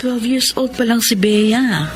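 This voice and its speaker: A woman's voice: a little high, measured pace, natural voice. Woman acting a soothing, concerned Filipino wife. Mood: concerned, reassuring. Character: concerned, soothing wife gently reassuring her husband. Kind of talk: Filipino radio-style melodrama acting. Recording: music playing behind the voice.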